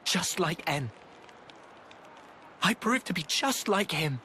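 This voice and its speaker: A man's voice: high voice, expressive, takes it slowly, sharp tone, a little hiss or hum, heavily acted. An actor playing an earnest, sorrowful young man in a dramatic fantasy game cutscene, speaking in a hesitant, heartfelt way. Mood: anguished regret.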